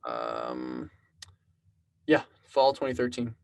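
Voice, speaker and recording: Relaxed young Texan man, rambling. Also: a bass voice, slow, vocal fry, clean tone. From South Texas. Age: 20s. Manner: relaxed, rambling storyteller with many fillers. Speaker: podcast guest, programmer and musician. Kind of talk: casual conversational interview.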